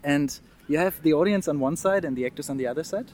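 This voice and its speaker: Guest, German-accented man, thirties, earnest and halting, faint traffic noise behind. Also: fairly high pitch, darkish tone. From East Germany, Saxony. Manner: earnest, restarts words, laughs often. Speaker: guest, mime artist. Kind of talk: casual interview.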